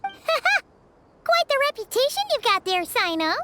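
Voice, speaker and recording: A woman voicing a tiny, excitable childlike fairy girl in a video game, chirpy, squeaky and full of exclamations. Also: slow, background noise, exaggerated voice. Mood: curious, teasing. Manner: chirpy, exclamatory, speaks of herself in third person. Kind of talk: video game character acting.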